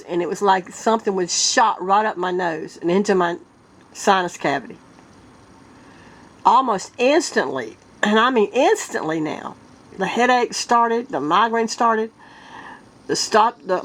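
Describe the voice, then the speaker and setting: Stuffy-nosed woman clearing her throat. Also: mid-range voice, rough recording. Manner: earnest, halting, with many restarts. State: congested, stuffed-up nose from a sinus illness. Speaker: vlogger. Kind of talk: casual talk to viewers.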